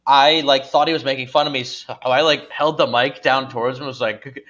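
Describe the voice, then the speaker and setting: A man with a pronounced stutter telling stories casually as a guest comedian, halting and repeating sounds, with chuckles in his speech. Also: mid-range voice, steady tone, fairly bright tone, highs cut off. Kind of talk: casual comic storytelling on a podcast.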